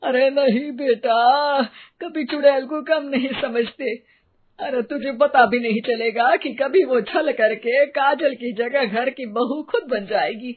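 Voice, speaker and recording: A woman voicing a superstitious, plaintive older mother-in-law in an animated moral story. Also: sits high, slow pace. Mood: suspicious. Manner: plaintive, insistent, dramatic. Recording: narrow, phone-like sound.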